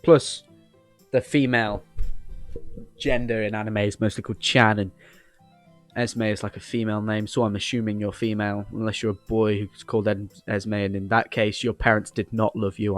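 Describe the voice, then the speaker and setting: A man with an English accent giving casual, rambling gaming commentary in a cheeky, joking manner. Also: darkish tone. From England. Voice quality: deep voice. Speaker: host of a gaming video channel. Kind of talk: casual rambling playthrough commentary, reading game dialogue aloud.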